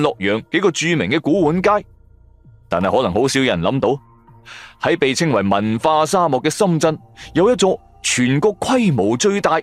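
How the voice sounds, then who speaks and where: A man's voice: mid-range voice, no echo. A man with a Cantonese accent narrating a supernatural serial in the first person, in a dramatic, colloquial storytelling manner. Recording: music bed under the voice. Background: background music.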